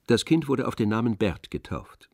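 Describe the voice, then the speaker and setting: A man's voice: mid-range voice, sing-song, moderate pace, vocal fry, darkish tone, no room sound, studio quality. A man reading scripted literary narration for an audio drama.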